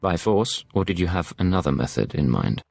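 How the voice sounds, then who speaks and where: A cool, dutiful spy from a video game, with a very low voice. He speaks briefly and in a businesslike way, over background music. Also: very animated, a little fast, creaky, darkish tone, heavily acted. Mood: cool, inquiring. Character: cool, dutiful male secret agent taking orders. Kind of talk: video game cutscene acting.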